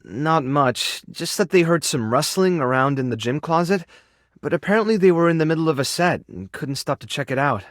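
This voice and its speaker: Guy voicing a soft-hearted male animal rescuer in a game, lightish pitch, super expressive, earnest and worried, dry studio sound. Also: fairly quick, no echo, lightly acted. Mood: friendly, weary. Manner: expressive, soft-hearted, encouraging, coaxing small creatures like pets. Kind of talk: video game character dialogue lines.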